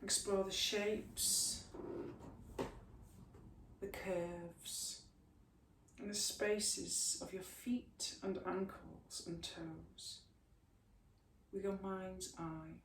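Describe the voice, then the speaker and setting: A woman teaching a yoga class, giving slow, soothing guidance through stretches and a guided relaxation. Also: mid-range voice, flat delivery. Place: room, recorded at a distance from the camera mic. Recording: echoey room, mic at a distance, faint hiss.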